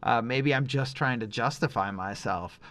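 A man's voice: medium pitch, normal speed, a bit bright. A middle-aged man hosting a casual music review podcast, thinking aloud in a rambling, emphatic, opinionated way.

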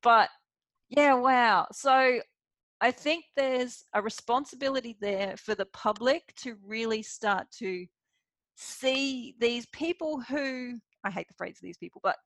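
Brief: woman host, animated and chatty, higher voice, dry close sound. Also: slow pace, dry recording. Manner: animated and chatty, many fillers. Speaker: podcast host. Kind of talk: casual interview chat.

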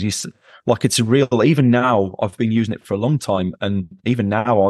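Low-voiced emphatic man, dry sound. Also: no echo. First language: English. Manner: emphatic, repeats words for stress. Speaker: podcast guest, AI expert. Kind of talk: conversational interview.

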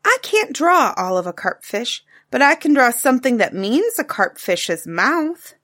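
A woman's voice: on the high side, measured pace, pitched above the performer's own voice, full character voice. A woman voicing an eager, chattering little girl from a storybook. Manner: excited, chattering, childlike. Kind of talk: storybook reading.

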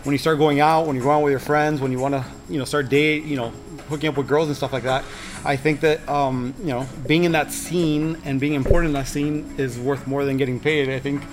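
A man's voice: fairly high pitch, steady tone, brisk. A middle-aged man from Miami, a podcast guest talking casually and rambling with many restarts. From Miami, Florida. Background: music.

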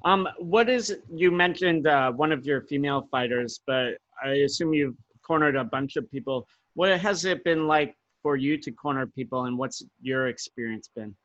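A man interviewing a fight coach, asking questions in a relaxed, hesitant way with many fillers. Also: medium pitch, moderate pace, few pauses, dry recording, lo-fi sound.

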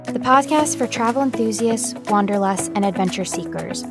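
A woman's voice: on the high side, normal speed, over music. A woman hosting a travel podcast who talks in an upbeat, gushing way and praises her guest often. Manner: upbeat, gushing, many "I love that". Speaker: podcast host. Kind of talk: enthusiastic podcast interview.

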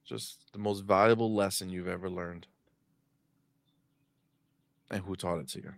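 A man's voice: sits low, steady tone, a little slow, vocal fry. A man hosting an interview who supports and reassures his guest. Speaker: podcast host. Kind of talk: casual interview chat.

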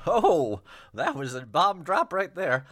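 A man voicing a smug, unashamed wealthy husband, speaking up high and boastful. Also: sing-song, takes it slowly, fairly bright tone, full character voice.

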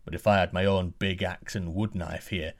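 A man voicing a huge, menacing male giant with a low, slow, booming delivery. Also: steady tone. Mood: frustrated.

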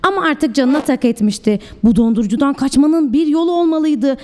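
High-pitched woman reading a children's story. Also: brisk, dark tone, slight background noise. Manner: theatrical, clearly addressed to young children. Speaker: preschool teacher, storyteller. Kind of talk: expressive children's storybook narration.

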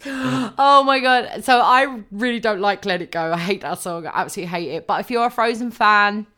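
A woman's voice: medium pitch, normal speed, pushed and bright. A young woman co-hosting a podcast, chatting casually with many ums and frequent laughs. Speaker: podcast co-host. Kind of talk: casual chat.

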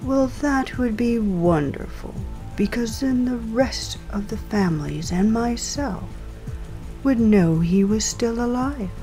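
A woman playing a coolly polite, menacing crime boss in an audio drama, speaking in a deliberate, mockingly genteel way. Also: on the high side, lively intonation, mellow, rough recording. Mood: probing, controlled. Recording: music and rain under the voice.

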